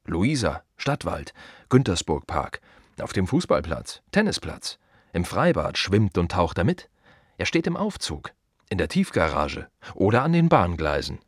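A man's voice: deep voice, very animated, unhurried, breathy edge, dry recording, studio quality, exaggerated voice. A man playing a world-weary, wry gravedigger in a radio play monologue, talking conversationally in short, emphatic, rhythmic repeated phrases. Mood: musing, wry. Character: world-weary, wry male gravedigger musing on death and the city.

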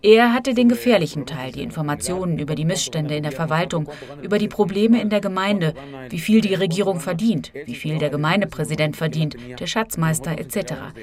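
A woman's voice: on the low side, brisk, crowd noise. A woman reading a scripted radio feature as a correspondent, narrating and voicing translations of quotes.

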